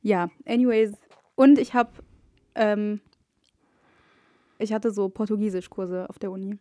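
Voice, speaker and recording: A woman's voice: mid-range voice, steady tone, unhurried, mellow, no echo. A woman from Egypt, a native Arabic speaker, chatting as a podcast guest and often slipping English words into her talk. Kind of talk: casual chat.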